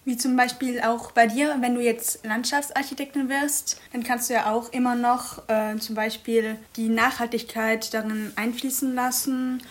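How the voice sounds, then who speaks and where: Eine junge Frau, Freiwillige, die anderen ermutigend Beispiele aufzählt. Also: female voice, fairly high pitch, flat delivery, normal speed, few pauses. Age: young adult. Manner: encouraging, explanatory. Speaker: young volunteer.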